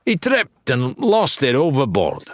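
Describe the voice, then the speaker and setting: A man's voice: medium pitch, wildly swooping pitch, measured pace, no room sound, pitched above the performer's own voice. A man voicing a blustering, theatrical pirate weapons dealer in an audiobook, drawing out his salesman's patter with grand flourishes. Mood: jovial, ingratiating. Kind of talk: dramatised audiobook character dialogue.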